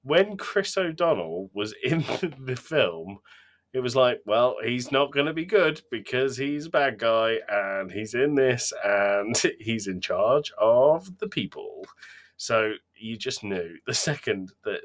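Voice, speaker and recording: A man's voice: medium pitch, expressive, slow. A man co-hosting a podcast, chatting casually in an emphatic, opinionated way. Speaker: podcast co-host. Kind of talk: casual chat.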